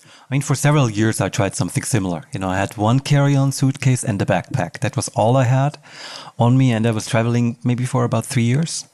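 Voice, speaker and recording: A man's voice: mid-range voice, a little fast, a little mellow, no room sound, studio quality. A man speaking conversationally as the host of an interview podcast.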